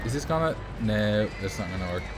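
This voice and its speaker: A man's voice: lowish voice, expressive, fairly quick, noisy background. A man from the Netherlands with a Dutch accent, casually chatting with viewers and thinking aloud while he plays a building game on a livestream. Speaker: streamer.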